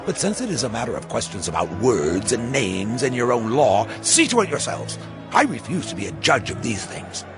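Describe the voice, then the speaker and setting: An actor playing a haughty, dismissive Roman proconsul in a dramatized audio Bible, speaking emphatically. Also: male voice, medium pitch, wildly swooping pitch, normal speed, over music.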